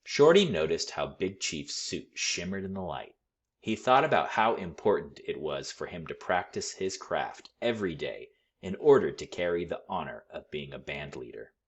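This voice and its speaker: A man reading a picture book aloud to children in an expressive, teacherly way. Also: low voice, normal speed, breathy edge. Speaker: school read-aloud storyteller.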